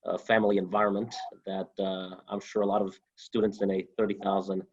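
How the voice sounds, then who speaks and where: Man, 20s, from Honduras, flat delivery, halting with fillers, muffled call sound. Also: low voice, breathy edge. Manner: halting, many uh fillers, steady. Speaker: alumnus guest. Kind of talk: interview answers.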